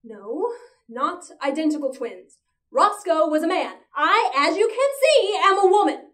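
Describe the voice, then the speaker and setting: A woman's voice: extremely high pitch, animated, measured pace, a bit bright, exaggerated voice. A woman playing an exasperated young woman disguised as her twin brother, lecturing impatiently. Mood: indignant. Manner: impatient, lecturing, spells things out. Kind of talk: audition monologue. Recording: voice distant from the mic.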